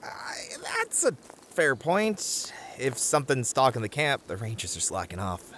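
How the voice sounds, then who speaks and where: A man's voice: medium pitch, wildly swooping pitch, a little slow, fairly bright tone, full character voice. A man playing a cautious, sensible young camper in an ASMR roleplay drama, friendly and reasoning, wry and easily exasperated. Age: young adult.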